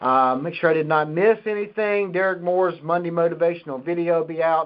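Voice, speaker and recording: A man hosting a business conference call, reading out announcements and introducing a guest speaker. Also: mid-range voice. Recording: dull lo-fi conference call line.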